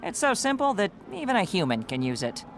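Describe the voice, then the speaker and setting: A small, smug genius inventor from a fantasy game. The voice is high and quick with wildly swooping intonation, condescending, over faint ambience. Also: male voice, huge pitch swings, a bit bright, a little hiss or hum, exaggerated voice. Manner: condescending, brisk. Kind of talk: dramatic fantasy game acting.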